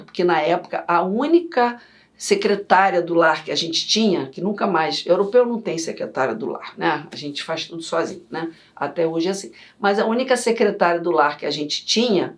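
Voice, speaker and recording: Chatty lower-voiced woman from yugoslavia. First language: Serbian. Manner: chatty, animated storyteller. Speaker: guest, vocal coach. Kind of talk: casual interview chat.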